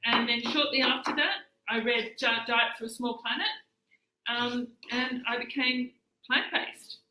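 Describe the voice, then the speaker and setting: A woman's voice: fairly high pitch, fairly even intonation, unhurried, bright, forceful tone, slight background noise. An Australian woman in her late fifties, giving a live cooking demonstration in a chatty, hesitant way with many fillers. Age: 50s. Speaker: cooking instructor. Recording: echoey room.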